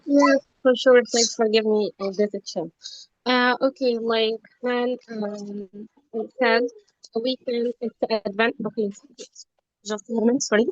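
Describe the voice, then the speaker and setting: Hesitant female learner, slightly high voice, dull video call line. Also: fairly even intonation, a little slow, no echo. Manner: hesitant, restarts and apologizes. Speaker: learner in an online English class. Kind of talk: spoken English practice. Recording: lo-fi dry video call audio.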